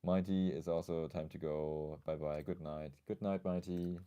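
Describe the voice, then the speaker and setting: Male livestream host, extremely low mellow voice, a bit scratchy, casual and thoughtful. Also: steady tone, normal speed, smooth, lo-fi sound. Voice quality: slightly scratchy.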